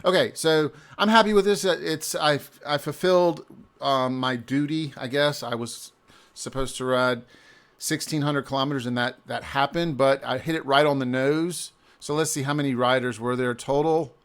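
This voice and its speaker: A man, a native English speaker, casually walking through a screen-shared recap of a cycling event, often pausing and restarting mid-sentence. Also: mid-range voice, fairly even intonation, fairly bright tone. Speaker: amateur cyclist reviewing an event.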